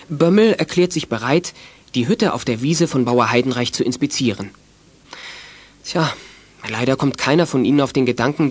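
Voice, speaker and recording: A man narrating a children's audio drama from a script in a knowing storytelling manner. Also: medium pitch.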